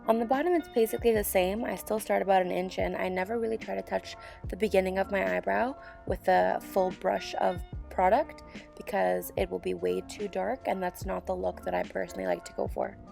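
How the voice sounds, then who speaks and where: Sick young woman host with a hoarse, gravelly voice explaining a makeup routine step by step. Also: mid-range voice, a little fast, faint music behind. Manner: calm step-by-step instruction. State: hoarse, sick with sore throat. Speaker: beauty channel host. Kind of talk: tutorial voiceover. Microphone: voiceover mic.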